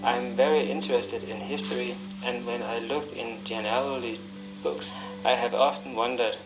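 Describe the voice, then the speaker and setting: A man's voice: medium pitch, steady tone, moderate pace. Man, dull lo-fi recording. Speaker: sperm donor giving a profile message. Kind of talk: prepared personal statement. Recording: dull lo-fi playback, music behind.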